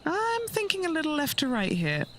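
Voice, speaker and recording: A woman's voice: sits high, huge pitch swings, moderate pace, slight background noise. A woman playing an encouraging on-course golf reporter who comments briefly in a video game.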